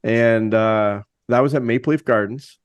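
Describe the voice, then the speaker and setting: A man's voice: mid-range voice, moderate pace, no room sound, muffled recording. Older man, chatty rambling co-host. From Canada. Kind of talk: casual podcast chat.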